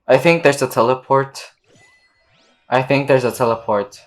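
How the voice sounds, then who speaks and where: A woman's voice: very deep voice, steady tone, normal speed, soft background music. A woman giving casual gameplay reaction commentary, blunt and slangy, as a gaming video creator.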